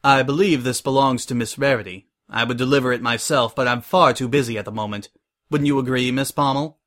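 No-nonsense lady pony boss, read by a male narrator: polite, measured, stern with a kind streak, storybook style. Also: mid-range voice, steady tone, a bit bright, close to an everyday voice. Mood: brisk.

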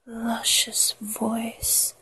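A woman whispering an intimate, sensual erotic monologue to the listener in a breathy, drawn-out way. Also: fairly high pitch, completely flat delivery. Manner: slow, sensual, drawn-out delivery with soft moans.

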